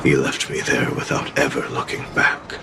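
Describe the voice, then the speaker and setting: An actor voicing an ancient, world-weary sword spirit in a video game, solemn and deliberate, with a gravelly voice. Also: male voice, big pitch swings, heavy background noise, exaggerated voice. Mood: solemn, wistful. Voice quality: very deep gravelly voice. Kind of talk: dramatic game cutscene acting.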